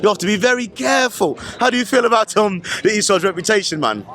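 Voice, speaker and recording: A man's voice: sits high, expressive, fast, a bit bright, slight background noise. A man interviewing people at an outdoor festival, chatty and teasing, with slangy filler and repeated phrases. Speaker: street interviewer. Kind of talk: casual street interview.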